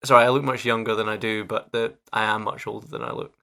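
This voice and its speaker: A man's voice: fairly low pitch, little pitch movement, quick pace, creaky, bright, forceful tone. A 27-year-old man, hosting a podcast and telling chatty stories that stumble over themselves. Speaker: podcast host. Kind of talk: casual chat.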